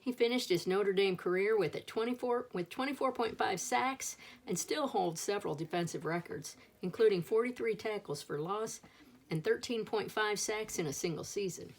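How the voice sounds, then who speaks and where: A woman reading a children's picture book aloud as a storyteller, expressively acting out the dialogue. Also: on the low side.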